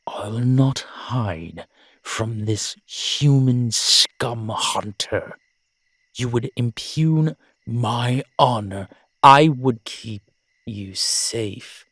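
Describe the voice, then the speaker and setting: A man's voice: mid-range voice, drawn-out words, fairly bright tone, husky, no room sound, clean studio sound, exaggerated voice. A man playing an ancient, proud vampire in an audio drama, speaking with formal, courtly diction and gravity. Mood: offended. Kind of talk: dramatic audio roleplay acting.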